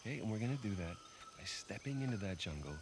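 Driven, brash treasure hunter. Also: male voice, lowish voice, sing-song, fast, background noise. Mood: insistent. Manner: insistent, brash, conversational. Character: driven, stubborn older brother, a roguish treasure hunter. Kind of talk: naturalistic video game acting.

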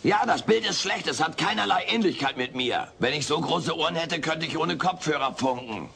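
A man's voice: on the high side, expressive, normal speed, gravelly, bright, forceful tone, heavily acted. A man voicing a cheeky, vain little furry alien with sarcastic, mock-pompous delivery in a German sitcom audio drama. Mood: cheeky, theatrical. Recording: faint noise, old cassette audio drama.

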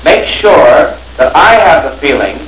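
A man playing an exacting radio drama director, giving measured notes to an actress with deliberate pauses. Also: on the high side, very animated, fairly bright tone, a little hiss or hum, poor audio. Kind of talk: directing an actress, old film. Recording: staticky old film soundtrack.

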